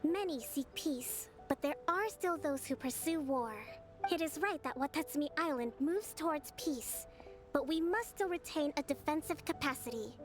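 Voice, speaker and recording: High-voiced, composed young priestess leader. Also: female voice, lively intonation. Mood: firm, authoritative. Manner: formal, measured, composed diction. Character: gentle, dignified young priestess and military leader. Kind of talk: video game voice acting. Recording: game music behind the voice.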